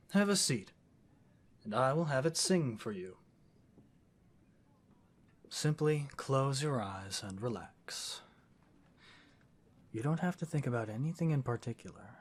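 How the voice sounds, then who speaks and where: A man playing an affectionate, scholarly wizard boyfriend in an intimate fantasy roleplay audio, speaking gently in eloquent, formal phrases. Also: mid-range voice, breathy edge. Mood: soothing.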